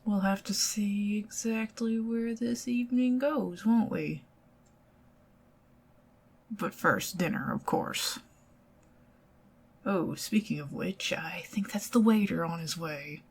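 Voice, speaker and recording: A middle-aged woman with a Southern US accent, speaking softly and partly in whispers in an ASMR date roleplay. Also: mid-range voice, takes it slowly, vocal fry, pauses often, slightly rough. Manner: intimate, soft-spoken, giggly, partly whispered.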